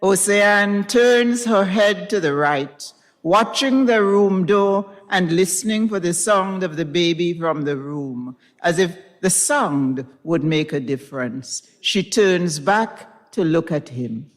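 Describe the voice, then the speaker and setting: Woman reading a story out loud, slow n expressive, big echoey hall. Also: lowish voice. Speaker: reader at a literary event. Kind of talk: dramatized reading of fiction. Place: recital hall in front of an audience. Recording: reverberant recital hall.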